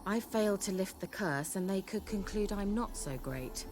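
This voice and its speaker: An actress playing a vain, sharp-tongued sorceress in a video game, speaking in a haughty, wry, coaxing manner. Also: female voice, mid-range voice, expressive, normal speed, close to an everyday voice. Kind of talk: video game cutscene acting. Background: game soundtrack music.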